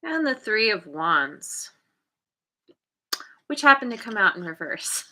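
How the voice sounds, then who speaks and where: Woman, tarot reader, unhurried, crisp bright tone, hesitant, lots of ums and restarts. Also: on the high side, sing-song. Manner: hesitant, many fillers and restarts; chatty, laughs at herself. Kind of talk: casual tarot reading.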